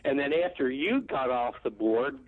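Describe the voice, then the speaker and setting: A man's voice: mid-range voice, expressive, normal speed, no echo, narrow, tinny audio. A man hosting a radio talk show, speaking in a thoughtful, reflective way. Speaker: radio host.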